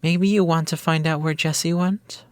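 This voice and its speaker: A man's voice: on the high side, fairly even intonation, a little slow, clean tone, close to an everyday voice. A man playing a coy, playful romantic partner who is secretly a witch, in an intimate ASMR roleplay, flippant and evasive. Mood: veiled menace. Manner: flippant, evasive, teasing, cutesy slang. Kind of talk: intimate ASMR roleplay monologue, girlfriend-experience script.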